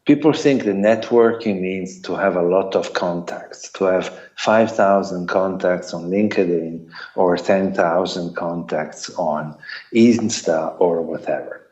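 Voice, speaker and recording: A middle-aged man with an Italian accent giving a lecture to students, deliberate and repetitive, counting his points off one by one. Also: low-pitched, a little mellow. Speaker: sports lawyer giving a talk. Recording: echoey, dull lo-fi sound.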